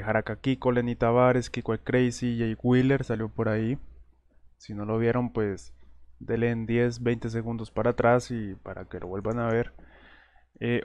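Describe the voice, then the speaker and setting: A man's voice: medium pitch, a little mellow, clear, resonant tone, no echo. A man hosting a news channel, chatting casually over a screen share and often calling his viewers "señores". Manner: conversational, keeps saying "señores". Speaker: host of an entertainment news channel.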